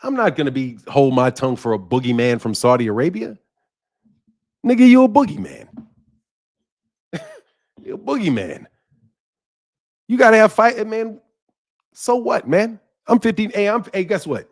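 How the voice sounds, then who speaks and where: A man's voice: high-pitched, very animated, moderate pace, pauses often. A man in his mid-fifties hosting a boxing channel, talking bluntly and heatedly to his viewers and laughing often. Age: 50s. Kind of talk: live commentary to viewers.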